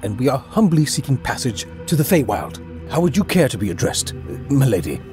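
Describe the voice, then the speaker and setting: A man's voice: medium pitch, very animated, fairly quick, over music, lightly acted. A man playing an earnest, bumbling dragonborn adventurer in a comedic fantasy audio drama, chatty and awkwardly courteous. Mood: nervously flattering.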